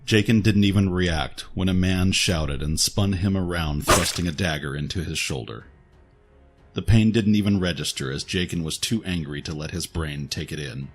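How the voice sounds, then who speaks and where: A man's voice: low-pitched, moderate pace. A man narrating a scripted dramatic story as its storyteller. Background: faint background music.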